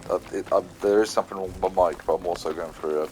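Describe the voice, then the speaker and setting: Brief: very deep man with a cold, rambling gameplay commentary, faint hiss on the mic. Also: steady tone, fast talker, darkish tone. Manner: chatty, rambling. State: has a cold, throat rough. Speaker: gaming channel host.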